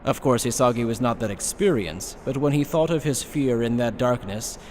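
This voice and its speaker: A man's voice: mid-range voice, moderate pace, a little mellow. Male narrator with lingering reverb. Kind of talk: scripted dramatic narration for anime fan dub. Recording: reverberant, slightly noisy recording.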